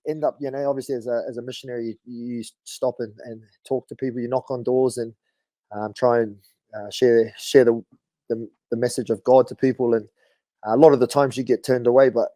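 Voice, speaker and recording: A man's voice: medium pitch, fairly quick, no echo. A man in his thirties with a New Zealand accent, telling stories at an easy pace in a casual podcast chat. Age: 30s. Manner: laid-back, relaxed storytelling with frequent "bro" and fillers. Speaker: guest, rugby league player.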